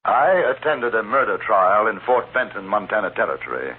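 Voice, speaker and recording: Wry English reporter, polite and precise, 1950s radio western, tinny sound, loud music behind. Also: male voice, fairly low pitch, lively intonation, normal speed. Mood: measured narrating. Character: cool, wry English newspaper correspondent in the Old West. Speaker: lead actor and narrator.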